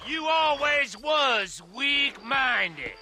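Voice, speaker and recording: A man's voice: very high voice, big pitch swings, very slow, bright, forceful tone, faint music behind, exaggerated voice. A man voicing a belligerent, coarse Western outlaw in a video game. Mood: bitter taunting. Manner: taunting, coarse. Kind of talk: video game drama acting.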